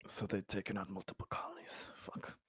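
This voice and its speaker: A man playing a tabletop game, putting questions in character with deliberate, formal phrasing and blunt turns. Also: low voice, monotone, a bit bright, breathy edge, no echo, whispered, clean studio sound.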